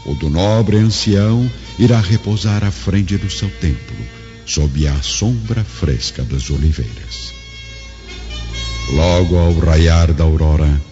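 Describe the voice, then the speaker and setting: A man with a Brazilian accent narrating a dramatized audiobook in a solemn, literary style. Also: deep voice. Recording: dull lo-fi audio, music behind. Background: background music.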